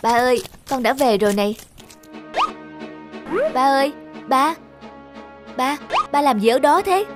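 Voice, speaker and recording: A woman's voice: high voice, expressive, measured pace, long pauses. A woman voicing a stubborn, jealous little schoolgirl in a cartoon, pleading and sulky. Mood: calling. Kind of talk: cartoon dub acting. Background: music.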